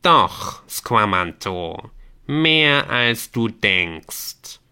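Man performing a slow, menacing hooded messenger. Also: lowish voice, big pitch swings, bright, forceful tone, smooth, heavily acted. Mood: cryptic. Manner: slow, commanding, portentous. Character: menacing hooded yellow-eyed messenger of a dark game world. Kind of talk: dramatic audiobook character voice.

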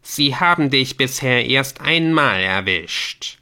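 A man voicing a menacing hooded messenger from a dark game world, speaking in a slow, commanding, portentous way for an audiobook. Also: medium pitch, expressive, pitched above the performer's own voice, full character voice. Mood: threatening. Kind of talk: dramatic audiobook character voice.

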